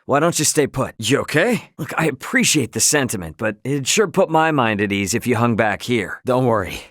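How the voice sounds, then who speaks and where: A man's voice: mid-range voice, lively intonation, moderate pace, a bit bright, no echo, clean studio sound, close to an everyday voice. A man playing an easygoing, cocky young adventurer hero in a video game, speaking casually and wryly. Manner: casual, colloquial, wry. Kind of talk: acted video game dialogue.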